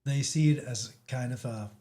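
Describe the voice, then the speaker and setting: A man from Denmark, not a native speaker, chatting as a guest and pausing often to search for words. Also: medium pitch, mellow. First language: Danish. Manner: halting, frequent restarts, searching for words. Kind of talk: casual chat.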